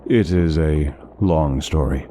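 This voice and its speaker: A man playing a courteous, learned, melancholy traveling scholar in a fantasy audio drama, speaking in measured, formal phrases with music behind him. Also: a bass voice, warm tone, slight background noise. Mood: somber, wistful. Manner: measured, formal, articulate storytelling.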